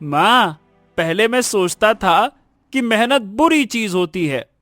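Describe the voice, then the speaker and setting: Man voicing a high-pitched earnest village boy. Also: expressive, slow pace, a bit bright, dry recording, soft background music, heavily acted. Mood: earnest, repentant. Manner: earnest, emphatic, humble. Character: earnest, repentant village schoolboy, humble and grateful. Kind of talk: animated moral story dubbing.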